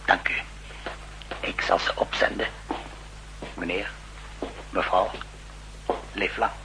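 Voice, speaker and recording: An actor playing a fussy, obsequious male shopkeeper in an old Dutch radio drama, hesitant, deferential and hushed. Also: deep voice, steady tone, slow pace. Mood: servile, polite. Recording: 1960s radio recording with faint hum.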